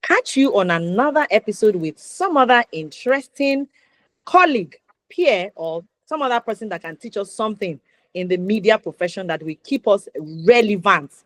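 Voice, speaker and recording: A woman's voice: mid-range voice, lively intonation, moderate pace, dry recording. A woman with a Nigerian accent hosting a conversational interview show, encouraging and emphatic, repeating points for stress. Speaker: talk show host.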